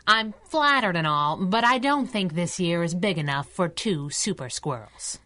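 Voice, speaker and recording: A brassy female crime-fighting sidekick from a thirties radio adventure. She is jealous and wisecracking, with a lowish, forceful voice and plenty of period slang. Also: animated, normal speed. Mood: grudging. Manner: sassy, slangy, suspicious. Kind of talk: 1930s radio serial acting.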